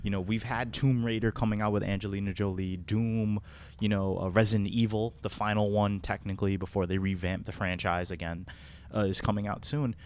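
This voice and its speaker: A man co-hosting a casual podcast, speaking haltingly and repeating words as he starts. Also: lowish voice, steady tone, a little mellow. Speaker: podcast co-host. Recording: noticeable background room noise.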